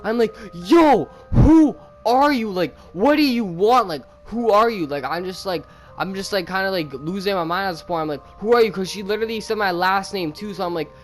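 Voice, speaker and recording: A man's voice: sits high, fairly quick. Young guy telling a slangy story over music. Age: young adult. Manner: slangy, animated retelling with frequent "bro" and "man". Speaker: gaming video host. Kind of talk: casual storytime over gameplay. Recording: music under the voice.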